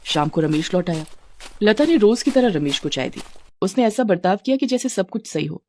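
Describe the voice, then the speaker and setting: A woman's voice: mid-range voice, sing-song, normal speed, a little mellow, a little hiss or hum. A woman reading a scripted story as its narrator.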